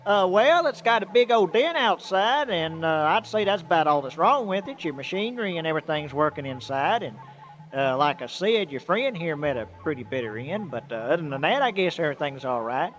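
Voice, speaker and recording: An actor playing a naive, good-natured country hauler in old-time radio comedy style, with hesitant, folksy speech. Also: male voice, on the high side, sing-song, fairly quick, fairly bright tone, highs cut off, soft background music. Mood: nervous, apologetic.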